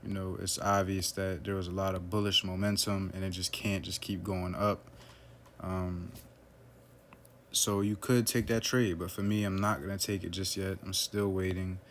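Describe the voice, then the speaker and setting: A man walks through a trading chart in a relaxed, offhand way, often pausing on "um" and "right?". His voice sits low and stays flat, with little rise or fall. A faint hum of background noise runs under the recording. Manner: relaxed, loose, lots of fillers like "um" and "right?". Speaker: forex trader analyzing a chart. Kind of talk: casual screen-share trading walkthrough.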